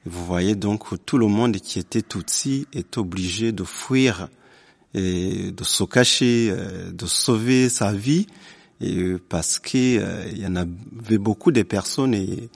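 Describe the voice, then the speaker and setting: A middle-aged man from northern Rwanda with a Rwandan accent, giving personal testimony in a halting way with many pauses and repeats. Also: lowish voice, vocal fry, breathy edge.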